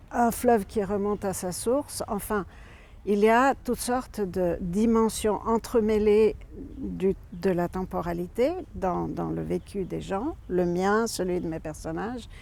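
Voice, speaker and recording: Slow, gravelly Italian-born woman novelist. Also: medium pitch, darkish tone. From Italy (Rome). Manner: measured and thoughtful, with frequent hesitant fillers. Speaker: novelist presenting her book. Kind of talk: reflective spoken book presentation.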